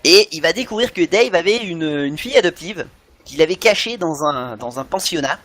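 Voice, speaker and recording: A man's voice: on the high side, animated, normal speed, fairly bright tone. A middle-aged man chatting casually and enthusiastically as a podcast co-host, often searching for his words. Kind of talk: casual animation fan chat.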